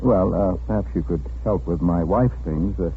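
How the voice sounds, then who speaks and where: An actor playing a mild, evasive, nervous husband in a 1940s radio drama, hesitant and stammering. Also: male voice, low-pitched, moderate pace, a little hiss or hum. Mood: nervous, polite. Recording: tinny old radio recording with music.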